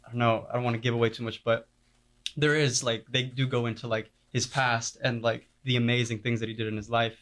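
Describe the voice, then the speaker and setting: A man's voice: medium pitch, flat delivery, a little fast, fairly bright tone. A man in a casual interview, describing his film crew work and leaning heavily on "like" as a filler. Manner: heavy use of "like" as filler.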